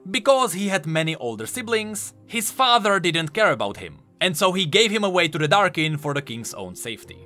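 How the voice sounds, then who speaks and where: A man's voice: sits high, very animated, fairly quick, few pauses, pushed and bright. A man giving enthusiastic, emphatic explanatory commentary on video game lore. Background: faint music.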